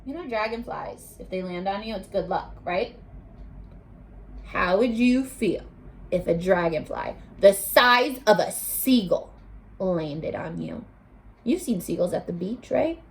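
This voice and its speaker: Female teacher for a kids' read-aloud: unhurried delivery, big expressive swings, invites children to answer. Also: medium pitch, rough recording. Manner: expressive, exclamatory, coaxing children to respond. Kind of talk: animated children's read-aloud with questions to listeners.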